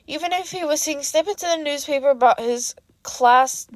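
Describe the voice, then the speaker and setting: A woman narrates fiction like an audiobook. Her voice sits fairly high and is expressive, recorded very dry with no room around it. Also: normal speed, dry recording. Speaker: fan fiction podcast reader.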